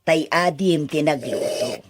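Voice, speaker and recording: A woman's voice: low-pitched, steady tone, takes it slowly, background noise. An actress playing a kindly, talkative elderly mother with an Ilocano accent in a radio drama. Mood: contented, chatty. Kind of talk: Filipino radio drama acting.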